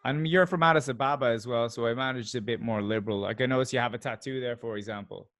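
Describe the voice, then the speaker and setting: A man hosting an interview, chatty and curious, asking relaxed questions. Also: mid-range voice, racing. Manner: chatty, curious, drops in learned phrases. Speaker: host. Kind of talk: casual interview chat.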